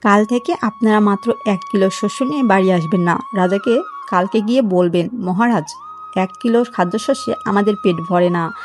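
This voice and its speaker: A woman's voice: medium pitch, normal speed, faint music behind, lightly acted. A woman voicing a clever, bold young daughter-in-law in a cartoon folk tale, insistent and practical. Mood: firm.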